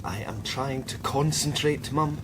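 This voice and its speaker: Radio drama lead: male actor as guarded, tired Scottish psychic, unhurried delivery, clear background noise. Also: medium pitch, natural voice. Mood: tetchy. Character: weary, guarded male psychic detective, fond of his mother. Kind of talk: radio drama acting, with introspective narration.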